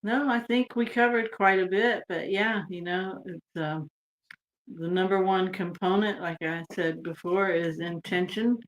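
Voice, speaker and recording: A woman from Texas speaks as a podcast guest, her voice sitting on the low side. She talks in a relaxed, meandering way, trailing off and filling gaps with ums. She sounds like she is on a video call. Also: a little slow, rough recording. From United States (Texas). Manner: relaxed and rambling, trailing off with fillers. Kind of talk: casual interview chat.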